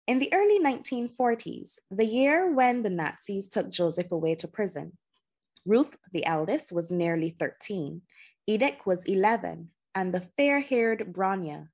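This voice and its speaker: A woman narrating a children's novel in audiobook style, with measured, deliberate phrasing and pauses. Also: mid-range voice, a little mellow.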